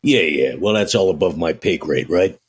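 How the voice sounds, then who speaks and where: A man voicing a streetwise, deferential century-old vampire with a gravelly, husky voice and hesitant, folksy old-time slang in a tabletop game. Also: sits low, animated, moderate pace. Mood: polite, casual.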